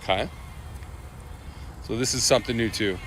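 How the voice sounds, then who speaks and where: A man's voice: mid-range voice, normal speed, fairly bright tone, slight background noise. A man hosting a casual live walkthrough of product updates, talking loosely with lots of fillers and restarts. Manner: loose and rambling, with many fillers, restarts and asides. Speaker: livestream host presenting product updates.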